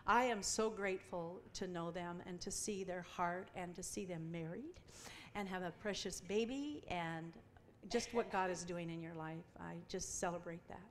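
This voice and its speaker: Lower-voiced woman introducing a speaker. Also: normal speed, hardly any pauses, a little hiss or hum. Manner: affectionate, fervent praise. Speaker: event host introducing the speaker. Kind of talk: warm introduction.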